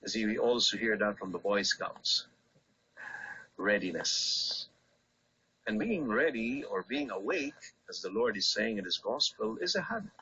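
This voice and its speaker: Bright, husky man preaching on radio. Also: lowish voice, sing-song, unhurried. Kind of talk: scripture reading and short radio homily. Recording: dull lo-fi radio aircheck, roomy.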